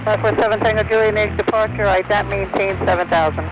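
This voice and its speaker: A woman's voice: medium pitch, steady tone, fast talker, over music. A woman working as an air traffic controller, giving clipped instructions over aviation radio. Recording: noisy aircraft radio transmission.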